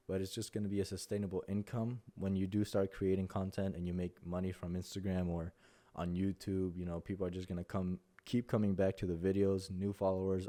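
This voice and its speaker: A man's voice: low voice, warm tone. A young Mexican man with a Spanish-accented voice, giving casual advice as a content creator, rambling with many fillers and restarts. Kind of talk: casual talking-head advice.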